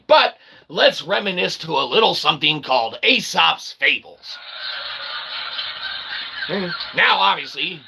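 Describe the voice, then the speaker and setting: A man with a gravelly, fairly high-pitched and very sharp voice delivers a loose, rambling stream lecture. He is brash and irreverent, pokes fun at himself, and keeps punctuating his points with little check-in words. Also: rough recording. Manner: brash, irreverent, sweary, self-deprecating; repeatedly tags phrases with "okay" and "all right". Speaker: independent streamer explaining ideas. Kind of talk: informal rambling explainer livestream.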